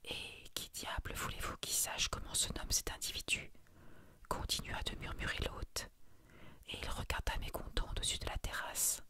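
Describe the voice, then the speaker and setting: A woman reading a short story as a narrator, in a hushed, soft ASMR bedtime style. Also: moderate pace.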